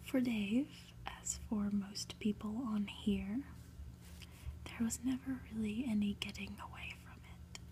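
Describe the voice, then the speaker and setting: A woman reading a story aloud for ASMR in a soft, breathy whisper, hushed and deliberate, with pauses. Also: mid-range voice, fairly even intonation, a little hiss or hum. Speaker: host reading a book aloud.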